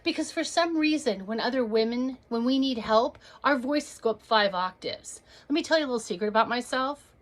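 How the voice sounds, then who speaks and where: A woman's voice: fairly high pitch, lively intonation, fairly quick. A woman performing a stand-up comedy set to a webcam, telling stories and acting out the people in them. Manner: storytelling, acts out quoted voices.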